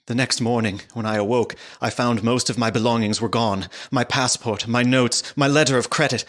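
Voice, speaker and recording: A man playing a frightened young English gentleman prisoner, giving formal, dramatic diary narration in a gothic audio drama. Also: mid-range voice, steady tone, moderate pace, natural voice. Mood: grim, uneasy. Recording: music underneath the voice.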